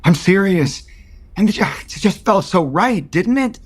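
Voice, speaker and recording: Earnest, husky-voiced romantic man. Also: high voice, animated, unhurried, exaggerated voice. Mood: earnest, tender. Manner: earnest, tender, emotionally open. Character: earnest, lovestruck, nervous man baring his heart. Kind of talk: audio soap opera acting.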